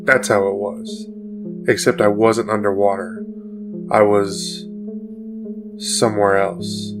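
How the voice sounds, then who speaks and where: A man playing a haunted, battered, half-dead survivor in a gothic audio drama, sounding raspy and exhausted. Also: lowish voice, flat delivery, takes it slowly, over music. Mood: haunted, unsettled. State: exhausted, shaky.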